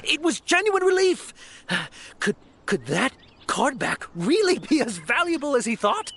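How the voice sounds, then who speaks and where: A man playing an excitable townsman in a video game. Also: very high voice, unhurried, very noisy, heavily acted. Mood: relieved, doubtful.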